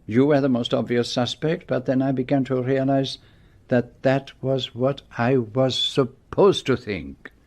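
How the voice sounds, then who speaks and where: A man acting in a radio mystery drama as a shrewd, methodical detective who speaks precisely and deliberately, with theatrical pauses. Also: medium pitch, steady tone, darkish tone, close to an everyday voice. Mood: probing. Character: shrewd, methodical detective explaining his solution.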